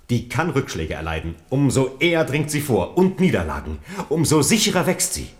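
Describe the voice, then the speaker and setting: An actor playing an earnest, loyal Protestant envoy in a 1970s German radio play, with solemn declamation. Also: male voice, a little high.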